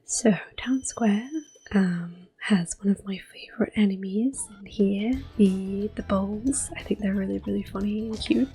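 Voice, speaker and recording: A woman giving gentle, mostly whispered ASMR commentary as she hosts a video game playthrough. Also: mid-range voice, fairly even intonation, a little slow, slightly rough, rough recording. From Europe. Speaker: host of a gameplay series. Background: quiet game music and controller clicks.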